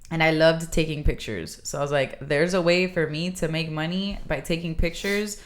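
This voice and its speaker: Low-voiced laid-back young woman. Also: normal speed. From Miami, Florida. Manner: laid-back, giggly, frank. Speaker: podcast guest, model. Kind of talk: casual chat.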